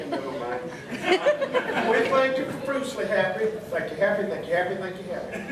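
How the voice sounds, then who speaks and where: A man's voice: high voice, noisy background, natural voice. A man playing an earnest, officious lodge secretary with a folksy, corny manner in a comic radio play. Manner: officious, folksy, awkwardly jokey. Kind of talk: comic radio theatre acting. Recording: very echoey hall, distant mic.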